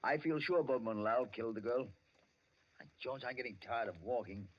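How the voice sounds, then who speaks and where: A man acting as a chatty, hearty British colonial gentleman in a 1940s radio drama, breezy and exclamatory. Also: medium pitch, moderate pace, lightly acted. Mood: gossipy, assured. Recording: dull, hissy vintage 1940s radio recording.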